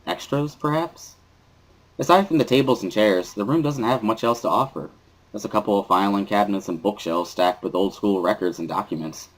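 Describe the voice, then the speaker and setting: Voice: sits low, brisk, low-quality recording. A reader playing a wary teenage schoolboy who narrates his own story in a dry, mildly sardonic way, as a visual novel dramatic reading. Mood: dry, observant.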